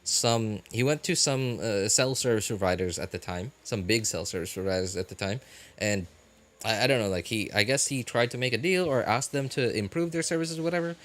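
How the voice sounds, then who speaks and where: A man hosting a livestream, chatting casually and unscripted with viewers in a halting, rambling way that often repeats phrases. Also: fairly low pitch, a bit bright. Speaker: livestream host.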